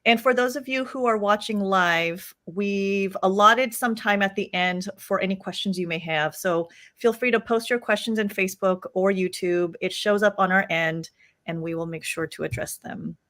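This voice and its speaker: A woman at a mid pitch opens a live online book talk and welcomes the viewers. She introduces her guest and explains the session in a clear, organized way. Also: normal speed, fairly bright tone. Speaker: host and co-director.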